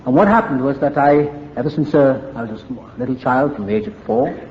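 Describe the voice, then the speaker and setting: A man's voice: medium pitch, big pitch swings, brisk, muffled recording, slight background noise. An older man with a Welsh accent, candidly telling his recovery story to a meeting in a reflective, wry way. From Wales. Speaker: AA meeting speaker.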